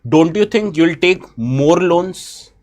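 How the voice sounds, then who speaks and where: Exam-prep teacher, Indian man with a head cold, slightly high voice, questions his class as he explains. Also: animated, slow pace, a little mellow. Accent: Indian English. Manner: asks students questions, switches briefly into Hindi. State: has a bad cold. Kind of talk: live online lecture.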